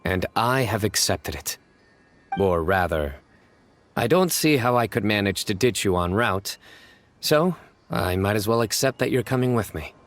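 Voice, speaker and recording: An actor playing a stern, reserved young desert investigator who speaks in a measured, deadpan way and sighs often. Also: male voice, lowish voice, no echo. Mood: calm, grave. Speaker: lead investigator.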